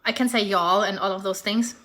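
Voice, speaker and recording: A woman's voice: mid-range voice, steady tone, a little fast, a bit bright. A woman with a German accent, a real estate agent giving a casual, upbeat market update straight to her viewers, chatty and persuasive with small slips.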